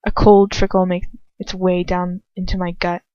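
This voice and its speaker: A woman's voice: fairly low pitch, monotone, normal speed, mellow, no room sound, dull audio. A woman reading first-person fiction aloud as an amateur narrator, in a dramatic style. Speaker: amateur audiobook reader.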